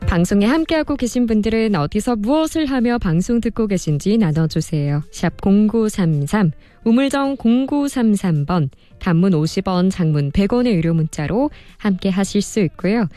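A woman hosting a radio music show, reading listener messages in a polite, expressive presenter style. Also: mid-range voice, moderate pace, few pauses, no room sound. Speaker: radio host and producer. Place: radio studio.

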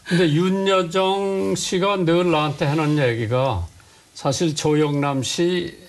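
A man's voice: fairly high pitch, slow. An older man hosting a conversational interview, asking blunt questions in an informal, familiar tone. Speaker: host.

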